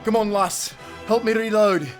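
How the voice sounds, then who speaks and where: A man's voice: high-pitched, steady tone, normal speed, lightly acted. A man playing a loyal, salty sailor in a nautical audio drama, protective and urgent, with dramatic seafaring turns of phrase. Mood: alarmed, protective. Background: music.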